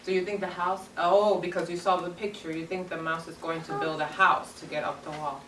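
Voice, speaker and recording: Low-voiced woman teacher in a roomy classroom. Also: fairly even intonation, a bit bright. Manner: instructive, simple deliberate phrasing for children. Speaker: elementary school teacher. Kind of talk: read-aloud lesson with questions to young children. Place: carpeted classroom full of children. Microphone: far camera across the room. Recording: roomy, distant classroom camera with background noise.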